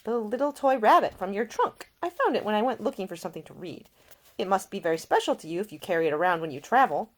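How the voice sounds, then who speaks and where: A woman's voice: mid-range voice, animated, fast talker, voice pushed up, natural voice. A woman voicing a caring, earnest and proper young woman in a storybook, solicitous and polite. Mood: warm, reassuring.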